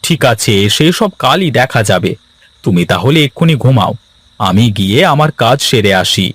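A man's voice: mid-range voice, expressive, moderate pace, a bit bright, slightly rough, no echo. A man voicing a humble, crafty village husband and farmer in a cartoon, with a pleading, deferential manner. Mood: calm. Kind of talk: cartoon dialogue acting.

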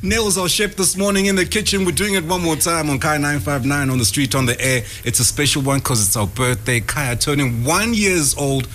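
A man's voice: on the high side, fairly quick, hardly any pauses, a bit bright, slight background noise. A man hosting a morning radio show from a studio kitchen, teasing and playful, speaking into a studio mic. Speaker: radio host. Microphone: studio mic with headphones.

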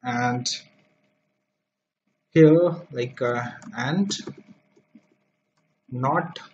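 Slow-talking man dictating code. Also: medium pitch, a little mellow. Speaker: programming tutor. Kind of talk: screen-recorded coding tutorial. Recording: dull lo-fi mic with faint noise.

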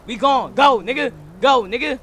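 An American man streaming a role-play game, bantering in street slang with loud, repetitive, taunting shouts. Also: very high voice, normal speed, crowd noise. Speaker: streamer role-playing as a gang member in an online game.

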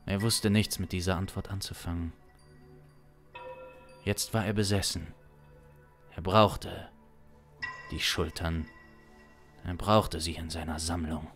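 A man in his thirties tells a suspense story in a very deep voice. His delivery is slow, deliberate and dramatic, over faint music. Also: steady tone, fairly bright tone. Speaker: narrator. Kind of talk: improvised suspense story narration. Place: small indoor streaming studio. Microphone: close studio microphone on boom arm.

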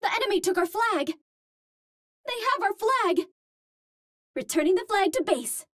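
A woman's voice: very high-pitched, expressive, unhurried, a bit bright, dry recording, clean studio sound, exaggerated voice. An actress playing a cute, naive young Martian space healer, speaking in a sweet, girlish, precise and formal way. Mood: earnest, alert.

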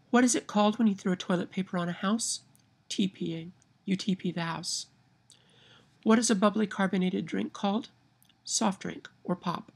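Midwestern lady w nasal twang, kinda husky and gravelly, reading slow into her webcam at home. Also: mid-range voice, a little mellow. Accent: Midwestern American. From Kansas. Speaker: vlogger. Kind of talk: reading a word list and a novel passage aloud. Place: home room by window blinds, indoors. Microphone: webcam at arm's length.

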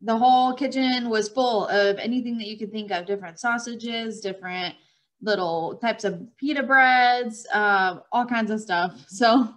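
A woman's voice: a little high, measured pace. A young woman with a light Texan accent, a guest on a podcast, chatting in a friendly, giggly way over a video call. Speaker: guest, fitness coach. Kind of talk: casual interview chat. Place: room at home by a window.

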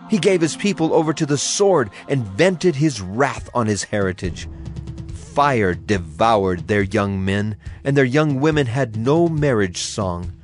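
A man giving a solemn, declamatory dramatized reading of scripture as an audio Bible narrator. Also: mid-range voice. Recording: music under the voice. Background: music.

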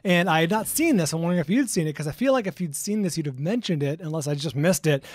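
A man's voice: a little high, expressive, quick pace, darkish tone, no echo. A middle-aged American man telling stories conversationally as a podcast co-host, on a close studio microphone. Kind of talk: casual conversational storytelling. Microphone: close studio microphone with headphones.

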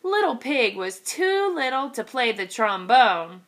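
A woman with a very high, animated voice reads a children's storybook aloud. She takes it slowly and deliberately, like a teacher addressing young listeners. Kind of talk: storybook read-aloud narration.

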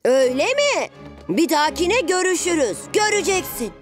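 Eager, high-voiced little boy superhero. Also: big pitch swings, normal speed. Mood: stubborn. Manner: eager, childlike, showing off. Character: eager, stubborn six-year-old boy superhero in lizard costume. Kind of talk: dubbed children's cartoon acting. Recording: music behind the voice. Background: background music.

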